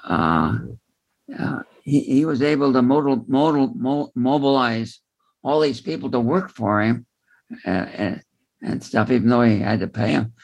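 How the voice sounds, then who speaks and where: Gravelly guy chatting in a group, stumbles over words, very dry sound. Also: mid-range voice, expressive, no echo. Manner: halting, repeats words while searching. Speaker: book club participant. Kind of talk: casual group discussion.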